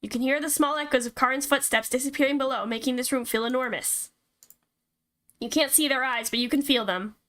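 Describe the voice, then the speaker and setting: A woman streamer reading a horror visual novel aloud and reacting to it in an expressive, casually sweary way. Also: sits high, a little fast. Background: game music.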